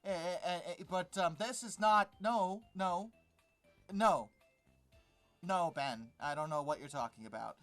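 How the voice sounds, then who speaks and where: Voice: medium pitch, a little slow, a bit bright. Exasperated commentator, music behind. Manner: exasperated, sardonic asides. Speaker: YouTube host. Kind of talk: reaction commentary, reading an article and critiquing it.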